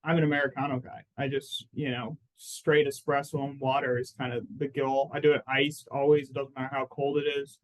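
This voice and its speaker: A man's voice: mid-range voice, brisk, hardly any pauses, rough recording. Male guest in his thirties from Phoenix; mid-range voice, easygoing and talkative. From Phoenix, Arizona. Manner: relaxed and chatty, lots of "like" and "I mean". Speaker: personal injury lawyer, guest. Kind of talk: casual interview answers.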